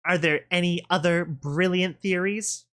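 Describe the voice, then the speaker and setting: Man voicing a commanding, sarcastic pony villainess, pitched up. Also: steady tone, unhurried, fairly bright tone, smooth. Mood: stern, scornful. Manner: blunt, commanding, sneering. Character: menacing, sarcastic female pegasus pony boss. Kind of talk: dramatic audio fan-fiction reading.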